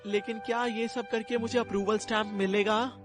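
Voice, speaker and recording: Cartoon boy shopkeeper actress: high voice, ambitious, excitable, salesman-like, music behind. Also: normal speed, natural voice. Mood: pleading. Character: eager, ambitious young boy shopkeeper, easily flustered. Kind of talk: Hindi cartoon dub.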